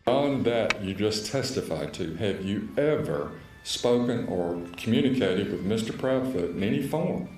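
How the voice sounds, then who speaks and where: Low, lively man in echoey courtroom. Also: a little slow, faint music behind. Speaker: attorney questioning a witness. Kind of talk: courtroom questioning. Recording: echoey courtroom, distant mic.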